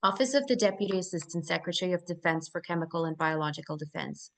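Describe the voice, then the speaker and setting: A woman's voice: fairly low pitch, expressive, quick pace. A woman reading scene directions as narrator at a live screenplay table read.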